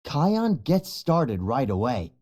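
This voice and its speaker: Storybook narrator reading for kids, real animated pitch, takes it slow. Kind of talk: scripted children's storybook narration.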